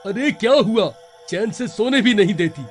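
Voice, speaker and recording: A man's voice: high voice, animated, moderate pace, faint music behind. A man voicing a greedy, brash, know-it-all husband in a cartoon story. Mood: dismissive. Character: greedy, cocky, dismissive husband. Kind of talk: cartoon voice acting.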